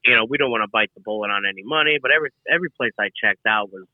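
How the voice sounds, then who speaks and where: A man as a podcast guest, chatting casually in a relaxed, rambling way with many fillers. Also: fairly low pitch, very fast, dry recording, phone-quality sound. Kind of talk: casual chat.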